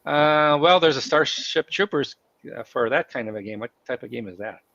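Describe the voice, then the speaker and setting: A man with a cold hosting a live company stream, talking in halting bursts full of fillers and restarts. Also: mid-range voice, animated, vocal fry, a bit bright, no room sound, muffled recording. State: has a cold, losing his voice. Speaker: host of company town hall stream.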